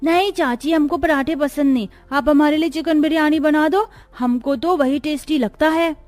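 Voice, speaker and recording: Whiny cartoon kid played by a woman, very high and squeaky, demanding, music behind. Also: normal speed, a little mellow, close to an everyday voice. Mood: insistent. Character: small hungry whiny child, demanding.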